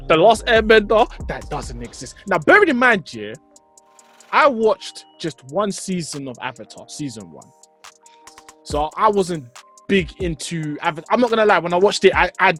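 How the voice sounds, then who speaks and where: A man's voice: high-pitched, a bit bright. A British man co-hosting a podcast, bantering casually in an emphatic, jokey manner. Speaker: podcast co-host. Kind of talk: casual banter about films.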